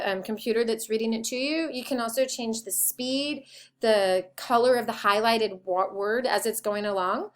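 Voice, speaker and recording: A woman teacher giving an informal, step-by-step software tutorial in an explanatory way. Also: fairly high pitch.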